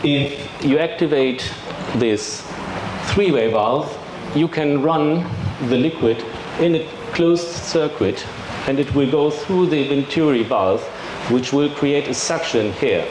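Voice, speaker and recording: Man, German accent, mid-range pitch, measured technical talk, clears throat often, noisy echoey hall. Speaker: industry expert presenter. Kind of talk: technical presentation. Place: trade fair hall. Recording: echoey hall with background noise.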